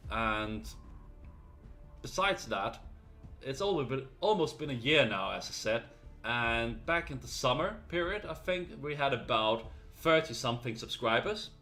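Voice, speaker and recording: A man, by birth, hosts his video game channel and talks straight to the camera in a casual, earnest ramble full of small fillers. His voice sits at an ordinary pitch for a man, and faint music plays softly behind him. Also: animated, unhurried. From Denmark. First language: Danish. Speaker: gaming channel host.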